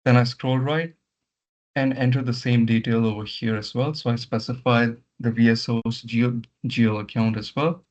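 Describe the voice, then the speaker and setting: A man giving step-by-step software training in an instructional way, often repeating his words, over an online meeting. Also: medium pitch, steady tone, normal speed. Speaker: software trainer leading a session. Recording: muffled lo-fi online meeting audio.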